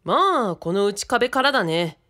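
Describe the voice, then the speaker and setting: Woman as a lively, polite young man. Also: medium pitch, unhurried. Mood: thoughtful. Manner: courteous, matter-of-fact. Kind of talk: radio drama acting.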